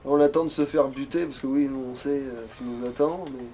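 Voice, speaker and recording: A man's voice: mid-range voice, steady tone, brisk, warm tone, slight background noise, rough recording. A man doing casual gaming commentary with a co-host, with crude, jokey, sweary banter. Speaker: let's play player.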